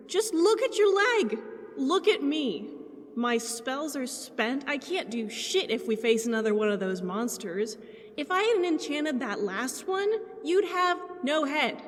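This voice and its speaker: A woman's voice: high voice, big pitch swings, slow pace, exaggerated voice. A woman playing a bitter, exhausted, foul-mouthed young female spellcaster in a fantasy audio drama, emotional and scornful. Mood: bitter, despairing. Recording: long cavernous reverb.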